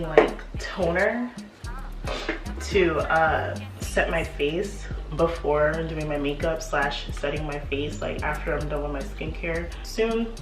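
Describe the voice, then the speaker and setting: A young woman with a congested voice from a cold, chatting casually as a beauty vlogger getting ready at home, with lots of fillers and laughs. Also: deep voice. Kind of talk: casual get-ready-with-me chat. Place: home apartment room. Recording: echoey room, mic at a distance, music behind.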